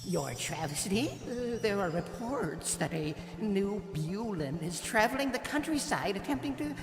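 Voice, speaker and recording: A man's voice: high-pitched, animated, a little slow. A man playing a nervous, fawning henchman who stammers as he serves a villain in an audio drama. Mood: servile, anxious. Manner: stammering, jittery, servile. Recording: echoey hall, music behind.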